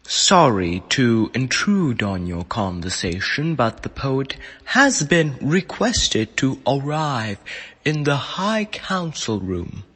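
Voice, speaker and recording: Guy doing a courteous time lord official, slow n swooping voice, formal, hall reverb. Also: medium pitch, sing-song, fairly bright tone, husky, full character voice. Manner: formal, deliberate. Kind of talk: sci-fi audio drama acting.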